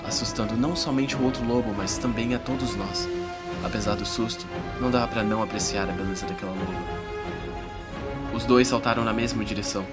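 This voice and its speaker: A man's voice: medium pitch, natural voice. A man playing an earnest young adventurer, narrating his perils in a dramatic, suspenseful first-person audiobook style. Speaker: narrator and protagonist. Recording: loud music bed under voice. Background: music.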